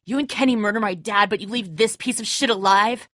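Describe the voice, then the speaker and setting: A woman's voice: medium pitch, brisk, a bit bright, no echo, studio quality, lightly acted. A woman playing a tough, bitter, defensive apocalypse survivor in a dramatic video game, speaking in a terse, confrontational way. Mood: seething.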